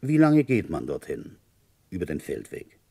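An actor playing a shrewd, quietly ambitious rural police inspector in a German radio crime drama, questioning in a pointed, probing way. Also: male voice, rumbling low, huge pitch swings, moderate pace, creaky, husky, full character voice.

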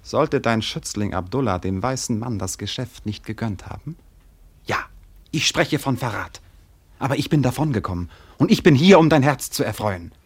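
An actor playing a proud, ardent seafaring trader prince in a German radio drama, speaking in a solemn, poetic, declamatory way with a husky voice. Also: male voice, medium pitch, lively intonation, moderate pace. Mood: defiant, accusing.

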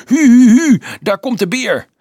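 Man putting on a high, roaring excited little boy. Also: measured pace, dry recording, studio quality, exaggerated voice. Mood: gleeful, fierce. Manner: chanting, roaring make-believe. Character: small excited boy playing at being a bear.

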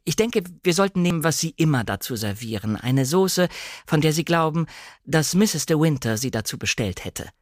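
Male reader as timid young woman, flustered, apologetic, hesitant, raised pitch, dry sound. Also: expressive, normal speed, no echo, close to an everyday voice. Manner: hesitant, eager to please, apologetic.

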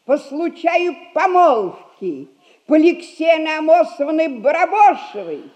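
An older actress playing a shrewd, domineering old merchant matriarch in a 1960s Russian radio comedy, drawling in a folksy, mocking way. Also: female voice, high voice, big pitch swings, takes it slowly, darkish tone, full character voice. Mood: commanding. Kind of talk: classic Russian stage comedy acting, 1960s radio play.

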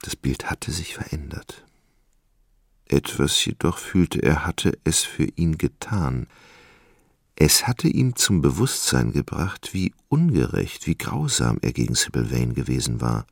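Casting: male literary narrator, gravelly, dry close studio sound, dramatic measured delivery. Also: very deep voice, big pitch swings, no room sound.

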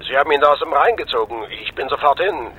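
A man's voice: a little high, lively intonation, fast, narrow, tinny audio, a little hiss or hum, natural voice. An actor playing a sober, cautious ex-policeman and loyal friend in a radio drama, speaking in a dry, matter-of-fact way. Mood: grave. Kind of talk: naturalistic German radio drama dialogue.

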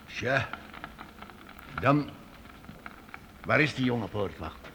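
A man playing a worldly, commanding master of travelling musicians in a Dutch radio drama, speaking with deliberate authority. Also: mid-range voice, lively intonation, sharp tone, full character voice. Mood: sceptical. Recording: noisy old radio play recording.

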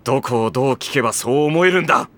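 A man playing a put-upon high-school boy, a dry, grumbling straight man in an anime comedy audio drama. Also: lively intonation, fast talker, fairly bright tone, natural voice. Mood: exasperated.

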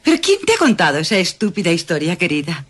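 Sensible, reproving household governess; raspy edge, very varied intonation, radio drama. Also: female voice, fairly low pitch, huge pitch swings, fast, heavily acted. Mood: scolding, incredulous. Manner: reproving, dismissive, exclamatory. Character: Madame Perrodon, sensible, scolding female household governess. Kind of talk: period radio drama dialogue.

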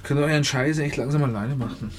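An actor playing a grumbling, blunt band musician in naturalistic radio play dialogue. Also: male voice, mid-range voice, fast talker, over music, close to an everyday voice. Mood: fed up. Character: grumbling, impatient male amateur band musician. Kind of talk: naturalistic, improvised-sounding radio drama dialogue.

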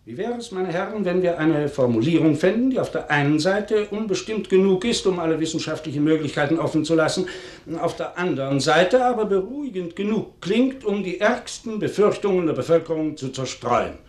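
Scrupulous physics professor, formal, lively intonation, medium pitch, echoing vintage radio drama sound. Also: male voice. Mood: grave, persuasive. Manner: formal, measured, rhetorical. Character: conscientious, hesitant physics professor. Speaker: actor in a radio play. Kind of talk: 1950s German radio drama acting. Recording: roomy, echoing 1950s radio production.

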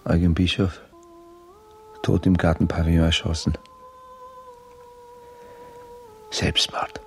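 An actor playing a troubled aristocratic officer who narrates in a formal, measured, gravelly and whispery voice. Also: male voice, sits low, wildly swooping pitch, soft background music, exaggerated voice. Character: troubled aristocratic officer, baron, brooding first-person narrator. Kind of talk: radio drama acting, introspective monologue.

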